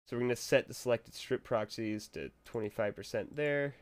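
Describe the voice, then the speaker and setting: Offhand young man, slightly hoarse. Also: medium pitch, normal speed. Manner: rambling, offhand, chuckles to himself. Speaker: tutorial maker. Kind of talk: casual screen-recorded tutorial.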